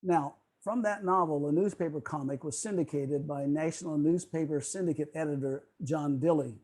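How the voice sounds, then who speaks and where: A man's voice: on the high side, normal speed, darkish tone. A man presenting a prepared, informative history talk in an online video meeting.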